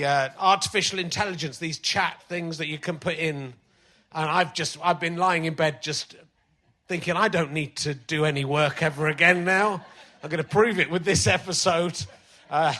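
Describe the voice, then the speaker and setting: A male comedian hosting a live podcast on a theatre stage, chatty and self-mocking, his voice slightly croaky from illness. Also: a little high, sharp tone. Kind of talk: live stand-up banter on stage.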